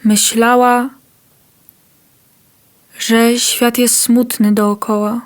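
Female reader, solemn poetry recital, extremely slow and monotone. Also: fairly high pitch. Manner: slow, even, solemn reading.

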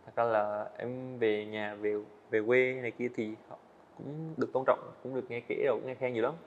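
A man's voice: medium pitch, fairly even intonation, highs cut off, slight background noise. A young man, a student animator, answering interview questions earnestly in casual speech, often restarting his phrases. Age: young adult. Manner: earnest, fast-talking, frequent small restarts. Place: indoor studio set.

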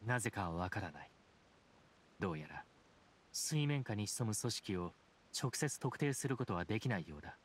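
An actor playing a dignified, aloof judge in a game, speaking in a formal, measured, reserved way. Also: male voice, lowish voice, big pitch swings, slight background noise. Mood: grave, measured. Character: solemn, dignified supreme judge, an aloof grown man. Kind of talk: Japanese game voice acting.

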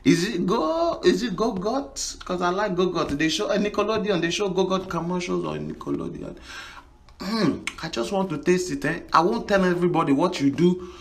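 High, animated comic voiceover man. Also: normal speed. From Africa. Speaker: comedian doing animal voiceovers.

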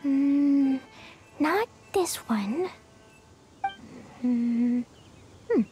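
A woman voicing an excitable, innocent little girl in an anime video game, with a childlike, earnest way of talking. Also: high-pitched, extremely slow, faint music behind, heavily acted. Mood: eager, pondering.

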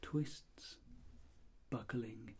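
A middle-aged man speaking in a soft, breathy, mostly whispered voice, gently and deliberately explaining each step as a doctor in an ASMR role-play. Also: medium pitch. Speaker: ASMR performer playing a doctor examining a patient.

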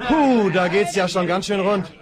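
An actor playing a brave, cheerful young rancher's son in a 1970s radio play. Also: male voice, sits high, normal speed, crowd noise, lightly acted. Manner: cheerful, bold. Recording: noisy old vinyl.

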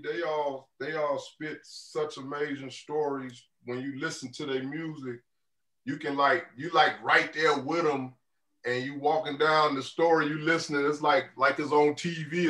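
A man in his thirties with a deep voice and a loose, easygoing way of talking. His tone is bright and forward. The call sound is roomy, as if he sits a little back from his mic. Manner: laid-back, loose, lots of "you know what I'm saying". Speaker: rapper guest. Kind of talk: casual interview answers. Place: indoor room on a video call. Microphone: video call. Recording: roomy video call audio, a bit distant.

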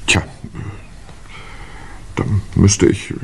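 An actor playing a polite, evasive young gentleman in a 1950s German radio play, hedging and deferential. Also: extremely slow, a little hiss or hum.

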